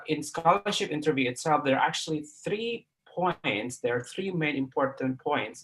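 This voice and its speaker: A man's voice: mid-range voice, a bit bright, rough recording. Indonesian-accented man teaching, asking "right?". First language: Sundanese. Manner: many fillers and restarts, keeps asking "right?". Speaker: guest lecturer. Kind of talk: live online class talk.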